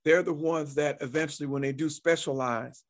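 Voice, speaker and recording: A man's voice: fairly high pitch, fairly even intonation, moderate pace. A man hosting an interview, chatty and encouraging, often repeating words as he builds up his questions. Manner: chatty, many restarts and affirmations. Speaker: interview host. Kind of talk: casual interview talk.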